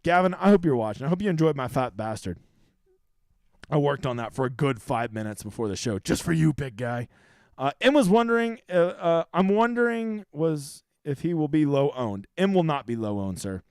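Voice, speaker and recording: A man's voice: on the high side, animated, normal speed, a little mellow, clean tone, no room sound, studio quality. A man in his thirties hosting a livestream, chatting casually with viewers in a profane, brash, rambling way. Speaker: livestream host. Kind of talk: casual livestream chat answering viewer questions.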